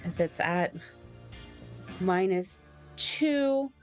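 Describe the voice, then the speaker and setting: A woman's voice: fairly low pitch, lively intonation, drawn-out words. A woman playing a tabletop roleplaying game, improvising casually in short, hesitant phrases. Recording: music playing behind the voice.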